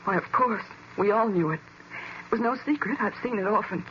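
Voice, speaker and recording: A woman playing a hesitant, trusting young niece with an American accent, halting and fragile in 1940s radio acting style. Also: medium pitch, a bit bright, close to an everyday voice. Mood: hesitant, upset. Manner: halting, faltering. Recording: muffled, hissy old radio recording.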